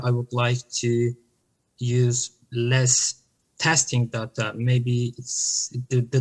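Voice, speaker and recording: Turkish-accented man, flat halting talk. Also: mid-range voice, rough recording. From Turkey. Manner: halting, with frequent restarts and fillers. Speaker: conference presenter. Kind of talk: answering questions on a video call. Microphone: laptop webcam mic on a video call.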